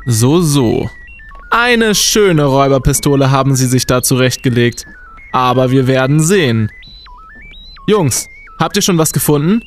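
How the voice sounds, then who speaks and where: An actor playing a pompous, blustering young team captain in a comic radio play. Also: male voice, medium pitch, animated, measured pace, faint music behind, natural voice.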